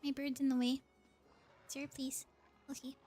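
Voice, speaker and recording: Female game streamer, high-pitched, chatty and informal, musing aloud with soft music underneath. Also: little pitch movement, measured pace. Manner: chatty, thinking aloud, giggly. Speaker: live streamer playing a video game. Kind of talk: casual live game commentary.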